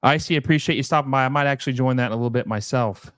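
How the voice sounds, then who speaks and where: A man's voice: mid-range voice, racing, fairly bright tone, no room sound, clean studio sound. Rambling man chatting on a live stream. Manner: rambling, many fillers and restarts. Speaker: livestream host. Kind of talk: casual live stream chat.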